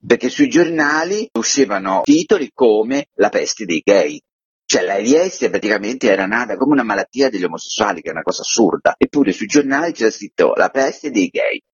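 A man's voice: on the low side, lively intonation, moderate pace, husky. A man in his sixties with a Roman Italian accent, telling anecdotes colloquially in a radio interview. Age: 60s. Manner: colloquial, reminiscing. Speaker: interview guest, actor and director. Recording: dull, lo-fi radio interview audio.

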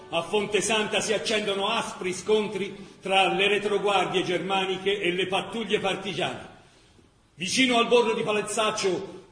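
A man's voice: sits high, a bit bright. A man narrating a wartime chronicle for a radio play, declamatory and emphatic. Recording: echoey room with faint background noise.

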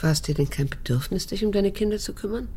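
An actress playing a wary, wounded daughter of about sixty in a radio drama, speaking in a measured, restrained way. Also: female voice, warm tone, slight background noise, natural voice. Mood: probing. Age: 60s. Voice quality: husky, low, creaky. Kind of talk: German radio drama dialogue and first-person narration.

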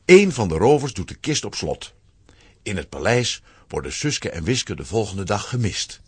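Flemish guy narrating a kids audio story, husky voice, really lively up n down delivery. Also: on the low side, normal speed, dry recording. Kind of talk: scripted storytelling narration for a children's audio drama.

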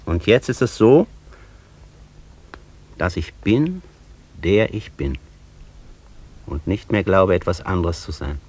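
An actor playing an exalted master poet and thinker in a German radio drama, speaking in a solemn, rhapsodic way with weighty pauses. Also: male voice, deep voice, very animated, darkish tone, exaggerated voice. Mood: weary, grave. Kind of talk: German radio drama acting, literary declamation.

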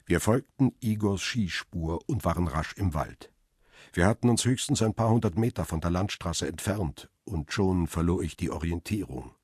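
A hunted photographer narrating his own radio thriller. His voice is very deep and husky, recorded close and dry. He describes things in a measured, tense way. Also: darkish tone, no room sound. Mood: tense, restrained. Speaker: narrator and protagonist. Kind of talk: radio crime drama narration.

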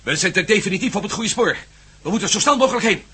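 An actor playing a determined expedition leader in a radio play, speaking in a probing, businesslike, urgent way. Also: male voice, high voice, animated, fast, sharp tone, breathy edge, exaggerated voice. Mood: urgent, decisive.

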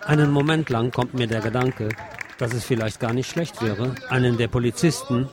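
A man's voice: mid-range voice, fairly even intonation, moderate pace. A man narrating a street protest report as a radio reporter. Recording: crowd noise behind the voice. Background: protest crowd and street sounds.

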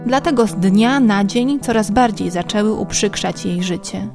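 Female storyteller, mid-range pitch, scripted children's tale narration over prominent guitar backing. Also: moderate pace. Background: plucked guitar music.

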